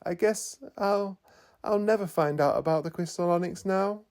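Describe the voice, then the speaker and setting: Man putting on a hopeful little girl, high-pitched. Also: moderate pace, creaky, darkish tone. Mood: disappointed. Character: earnest little girl, hopeful and easily upset.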